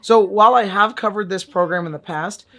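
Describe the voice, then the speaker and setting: A man's voice: high voice, a little fast, bright, forceful tone. A man vlogging a casual app review in a wry, joking way, with sudden emphatic bursts. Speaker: VR fitness and language vlogger.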